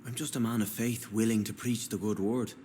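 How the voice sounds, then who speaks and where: An actor playing a weary, devout and humble shelter keeper in a video game, earnest and courteous. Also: male voice, lowish voice, steady tone, a little fast, warm tone, a little hiss or hum, natural voice. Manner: earnest, plain-spoken, courteous. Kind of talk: dramatic video game dialogue. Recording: music behind the voice.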